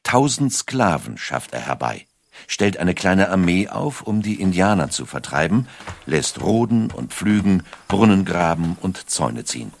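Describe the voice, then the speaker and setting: A man narrating a scripted documentary story in a measured way, with dramatic emphasis. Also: low voice, very animated, vocal fry, no room sound. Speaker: audiobook narrator.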